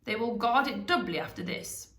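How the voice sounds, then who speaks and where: A woman's voice: a little high, animated, moderate pace, a bit bright, voice pushed up. Woman reading as an emphatic old wizard, roomy echo. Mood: explanatory. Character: clever old male wizard, brisk, proud, commanding.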